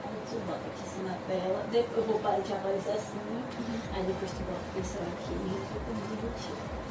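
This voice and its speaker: A woman's voice: mid-range voice, steady tone, quick pace, heavy background noise. A young woman chattily walking a friend through a makeup routine, recorded by a camera set on a table in a small room. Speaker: makeup teacher. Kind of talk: casual makeup tutorial chat. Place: small echoey room indoors. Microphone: camera at a distance on a table. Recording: roomy, distant phone camera.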